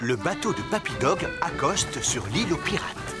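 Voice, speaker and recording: A man's voice: a little high, big pitch swings, normal speed. A man playing the storybook narrator of a French preschool cartoon, reading scripted narration. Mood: plain storytelling. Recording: loud music behind the voice.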